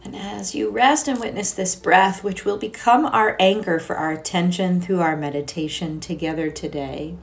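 A woman leading a guided meditation as a teacher, speaking in a gentle, soothing, unhurried way with long pauses. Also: lowish voice, fairly even intonation, creaky. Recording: soft music behind the voice. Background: music.

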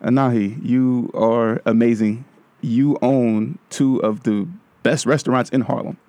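Gravelly-voiced upbeat male interview host. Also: mid-range voice, steady tone, unhurried, mellow, no room sound. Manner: upbeat, laughs often, many fillers. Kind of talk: casual interview. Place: studio.

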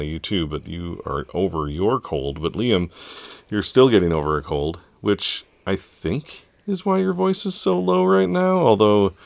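A man's voice: deep voice, creaky, no room sound. A middle-aged man co-hosting a family podcast, talking in an easygoing, chatty way with plenty of fillers and little laughs. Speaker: podcast host and parent. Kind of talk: casual family podcast chat.

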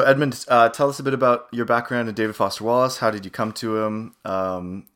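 A man with a low, gravelly voice co-hosts a casual podcast chat. He is relaxed and laughs easily between his questions. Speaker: podcast co-host.

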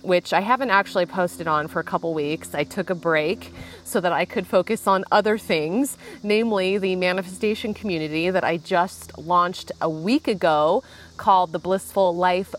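A young woman hosting a casual solo podcast, chatting and rambling from her backyard while getting over a cold with a lingering cough. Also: fairly low pitch. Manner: chatty, rambling, frequent "like" and asides. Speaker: podcast host. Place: outdoors in a backyard.

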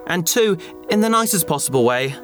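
An earnest, bookish male sidekick from a sci-fi radio play. He sounds polite but fussy, quick to sarcasm, with an animated, fairly high voice. Music is clearly audible behind him. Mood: reasoning, exasperated. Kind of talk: sci-fi audio drama acting.

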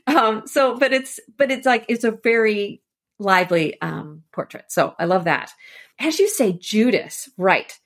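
A woman speaking as an expert guest in a conversational podcast interview, explaining things informally with animated asides. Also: medium pitch, measured pace. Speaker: expert guest, annotated edition editor.

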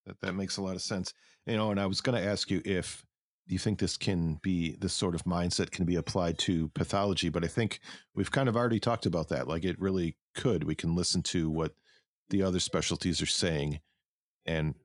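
Low-voiced man hosting an interview. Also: fairly even intonation, fairly quick, no echo, clean studio sound. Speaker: interview host. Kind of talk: casual conversational interviewing.

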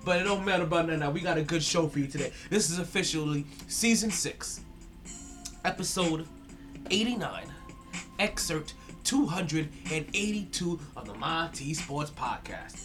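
A man's voice: on the high side, normal speed, music in the background. A man hosting a sports podcast in a hyped, slangy way, a bit stuffy-nosed from allergies and repeating his words. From South Florida. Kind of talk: casual sports talk.

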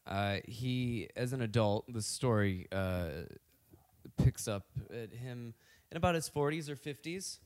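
A man telling a story on a casual podcast, halting and full of fillers. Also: mid-range voice, a little mellow.

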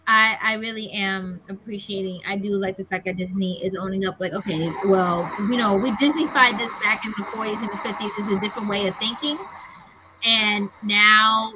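A woman's voice: mid-range voice, steady tone, moderate pace, background noise. A woman from Brooklyn, New York, a guest on a casual podcast over a video call, chatting in a rambling way. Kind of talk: casual group chat.

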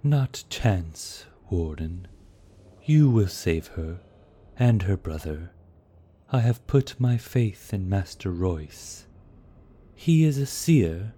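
A man reading aloud gives voice to a serene lord who speaks softly and slowly, calm and reassuring. The tone stays deep and mellow, a little lifted above the storyteller's own register. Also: breathy edge, full character voice. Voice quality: soft-voiced. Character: serene soft-spoken male elder lord.

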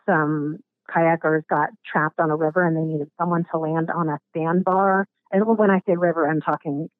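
A raspy-voiced woman from Alaska talks casually as a podcast guest, pausing on ums and circling back on her words. Her voice comes through a thin, phone-like line with no room sound around it. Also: low voice, fairly even intonation, no echo. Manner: halting, many ums and restarts. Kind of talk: casual interview.

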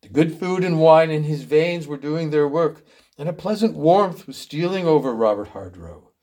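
A man plays an earnest author reading aloud. He has a fairly high male voice and a theatrical, sentimental, slightly pompous style of storytelling. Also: expressive, moderate pace, mellow, lightly acted. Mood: sentimental narration. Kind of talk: theatrical literary narration.

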